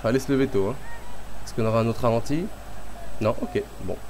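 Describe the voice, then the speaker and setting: A man with a cold commentating his own football video game match in a casual, excitable way, calling out to the players and the referee. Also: mid-range voice, a little fast, slight background noise, rough recording. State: has a cold.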